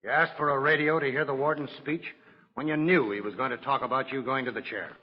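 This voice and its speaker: A man's voice: mid-range voice, fairly even intonation, fast talker, natural voice. An actor playing a stern, disapproving male prison guard in 1940s radio drama. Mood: stern, disgusted. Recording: muffled old radio recording.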